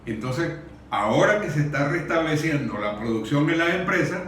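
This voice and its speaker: Male Venezuelan speaker for an earnest discussion speech, measured and emphatic, recorded far from the mic with faint noise. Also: a little high, animated, a bit bright. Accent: Venezuelan Spanish. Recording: very echoey room, distant mic, faint noise.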